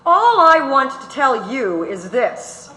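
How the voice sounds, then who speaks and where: A woman's voice: high-pitched, sing-song, slow, pushed and bright, heavily acted. A woman playing a stern, curt male trial judge in a staged courtroom reading in an echoey hall. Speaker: reader in staged documentary. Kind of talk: dramatic reading of court transcript. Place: large auditorium.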